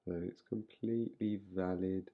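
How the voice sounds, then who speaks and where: Male meditation guide, deep creaky voice, unhurried phrasing with long pauses, slightly dull recording. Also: steady tone.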